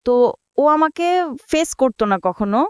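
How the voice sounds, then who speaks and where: Casting: young woman, Bangladeshi accent, higher voice, conversational storyteller quoting others, recorded dry. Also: expressive, normal speed, smooth, no echo. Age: young adult. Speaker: guest telling own life story.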